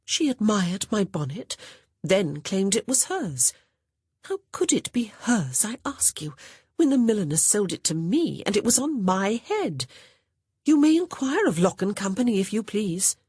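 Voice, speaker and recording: A woman's voice: medium pitch, big pitch swings, unhurried, darkish tone, breathy edge, dry recording, studio quality, voice pushed up. A narrator reading a clever young society woman who speaks sweetly and with mock innocence. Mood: sly.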